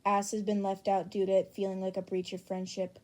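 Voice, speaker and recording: A woman's voice: medium pitch, monotone, a little fast, darkish tone. A young woman reading fan fiction aloud as narrator in an amateur audiobook style.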